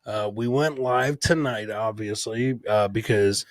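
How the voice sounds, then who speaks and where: A man's voice: lowish voice, measured pace, no room sound. A man hosting a casual livestream podcast, bantering informally with some swearing and lots of "man" and "brother". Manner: informal, profane, says "man" and "brother" often. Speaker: podcast host.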